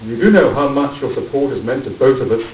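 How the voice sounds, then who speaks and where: An actor playing a grave, grieving gentleman who narrates formally in a radio play. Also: mid-range voice, expressive, far from the mic, a little hiss or hum, close to an everyday voice. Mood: preoccupied. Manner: solemn, formal, measured. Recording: dull, roomy stage pickup with music behind.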